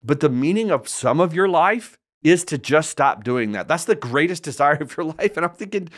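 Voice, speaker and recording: A man's voice: fairly high pitch, lively intonation, moderate pace. A man in his forties, a pastor from the United States, hosting a solo podcast and talking earnestly and directly into a studio mic, often restarting and repeating words. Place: small studio set indoors. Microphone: large studio mic in front of mouth.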